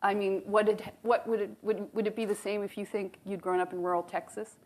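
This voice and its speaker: A woman's voice: mid-range voice, flat delivery, a little fast. A woman from Canada hosting an interview, chatty and asking long, rambling follow-up questions. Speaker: podcast host. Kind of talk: casual interview chat.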